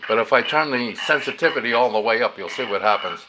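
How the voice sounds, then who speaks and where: A man's voice: mid-range voice, quick pace. A man giving a casual, unscripted hands-on radio demonstration, explaining things and thinking aloud as he works. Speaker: amateur radio hobbyist demonstrating a receiver. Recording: clear background noise, radio static. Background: shortwave radio stations and static.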